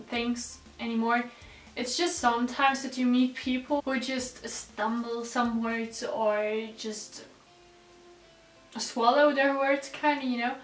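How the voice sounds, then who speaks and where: A woman's voice: on the high side, fairly bright tone. Teenage South Tyrolean girl in an echoey room. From South Tyrol, Italy. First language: German. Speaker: vlogger, exchange student. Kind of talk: casual chat to viewers. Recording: roomy echo, distant mic, background music.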